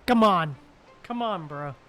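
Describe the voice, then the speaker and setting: High-voiced, slow-talking male game streamer. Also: expressive, fairly bright tone. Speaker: game livestreamer. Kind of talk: casual livestream chat while playing. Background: game music.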